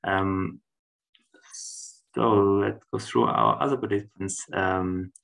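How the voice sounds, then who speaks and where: Guy moderating a call, super low flat voice, gravelly. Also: poor audio. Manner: halting, with fillers. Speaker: meeting moderator. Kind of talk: moderating an online meeting. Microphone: video call.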